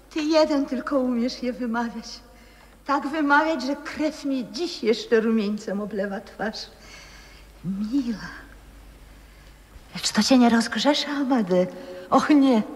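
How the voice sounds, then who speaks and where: An actress playing a frail old former opera singer, adoring and wistful, in poetic 1960s radio drama style. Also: female voice, on the high side. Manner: poetic, theatrical, hushed and breathy. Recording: old radio recording with long reverb.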